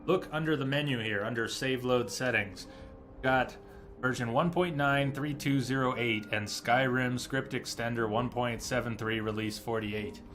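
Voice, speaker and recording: A man's voice: mid-range voice, moderate pace, fairly bright tone. A man giving casual, chatty live commentary as a game streamer, explaining things and reading menu text aloud.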